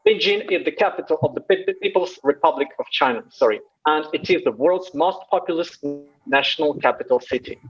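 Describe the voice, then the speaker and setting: A man co-hosting, reading a scripted introduction in a careful, measured way. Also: a little high, animated, breathy edge, muffled recording, soft background music. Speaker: co-host. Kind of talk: read-aloud introduction.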